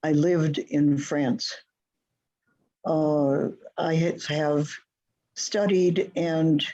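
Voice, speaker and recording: Slow, mellow-voiced teacher, muffled. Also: mid-range voice, slightly rough. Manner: slow, deliberate, with long pauses. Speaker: teacher of the class. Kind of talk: leading a conversation class.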